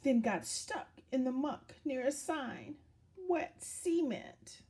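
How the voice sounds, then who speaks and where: Female children's story reader: unhurried, highly expressive, dramatic read-aloud delivery with wide pitch movement. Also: slight background noise. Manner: dramatic, deliberate storytelling with questions to young listeners.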